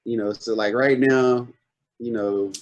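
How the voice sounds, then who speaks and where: A man in his twenties, a guest artist talking casually on a video-call podcast, relaxed and searching for his words as he goes. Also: medium pitch, a little slow, dull audio. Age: 20s.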